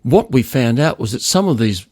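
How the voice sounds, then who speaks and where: A man's voice: mid-range voice, very animated, normal speed, a little mellow, slightly rough, dry recording, studio quality. An older man telling stories in a conversational interview, speaking emphatically and repeating words to stress them. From Australia. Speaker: loudspeaker designer, podcast guest.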